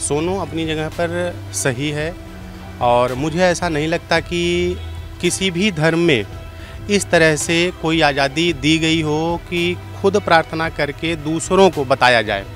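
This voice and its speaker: An Indian man interviewed on the street. He explains his opinion in measured phrases, in a somewhat raised register, with soft music in the background. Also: a little high. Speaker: member of the public giving opinion. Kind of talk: vox pop opinion.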